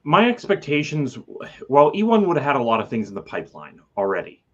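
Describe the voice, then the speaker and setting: A man's voice: fairly high pitch, wildly swooping pitch, fairly quick, highs cut off. A man co-hosting a podcast, chatting casually with dry, understated humor. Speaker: podcast co-host. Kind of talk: casual conversation.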